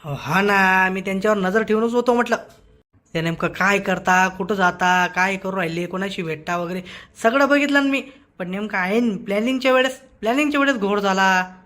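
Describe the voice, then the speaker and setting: An eager, nervy cartoon informant, acted out by a performer, with a bright, sharp voice. The snitch talks defensively, repeats phrases and keeps making excuses to please the boss. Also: mid-range voice, close to an everyday voice. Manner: hurried, repetitive, defensive. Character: nervous gossipy gang informant Shivlal, eager, excuse-making. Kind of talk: comic Marathi cartoon dialogue.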